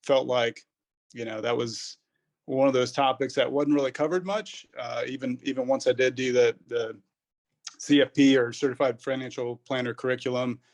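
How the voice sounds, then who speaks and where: A man in his thirties answers a podcast host's questions in a relaxed, rambling way. His voice sits at a middling pitch, and he often circles back to rephrase his thoughts. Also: moderate pace. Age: 30s. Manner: rambling, many fillers and restarts. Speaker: podcast guest, pharmacist. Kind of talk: casual interview answers.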